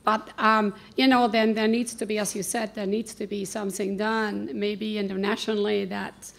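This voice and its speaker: Gravelly-voiced woman speaking from the floor. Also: mid-range voice, little pitch movement, moderate pace. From United States. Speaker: audience member asking a question. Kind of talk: spontaneous comment from the floor. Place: large conference hall with audience.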